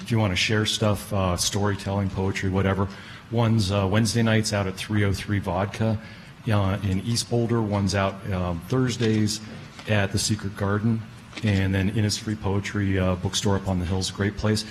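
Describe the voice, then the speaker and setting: Male audience member. Low pitch, casual remarks, slightly noisy room recording. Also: little pitch movement, normal speed. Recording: live room with background noise.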